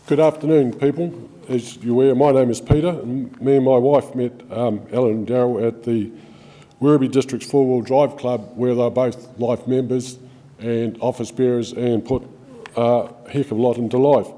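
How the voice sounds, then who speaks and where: A man's voice: mid-range voice, fairly even intonation, moderate pace, dark tone. A middle-aged man with a New Zealand accent giving an informal eulogy for a friend, telling stories with plenty of ums and restarts. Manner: chatty storytelling with frequent ums and restarts. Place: funeral service hall. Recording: long hall reverb.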